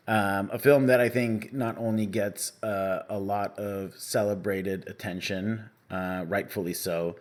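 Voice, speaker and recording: A young man from Canada co-hosting a podcast in casual chat, relaxed and rambling with many fillers. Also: on the low side, unhurried, hardly any pauses. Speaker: podcast co-host.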